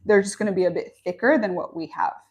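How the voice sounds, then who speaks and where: A woman's voice: medium pitch, fast, muffled recording. Chatty young woman hosting a live lesson. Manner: chatty, upbeat, reads chat comments aloud. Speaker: online coordinator and live-stream host. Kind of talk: friendly live-stream introduction and craft tutorial. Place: small office at a bamboo factory.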